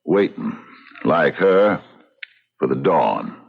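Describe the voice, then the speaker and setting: An actor playing a laconic, knowing frontier lawman in a radio drama, terse and deliberate. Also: very deep voice, sing-song, creaky, breathy edge, exaggerated voice. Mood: cryptic, grave. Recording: slightly dull radio drama recording.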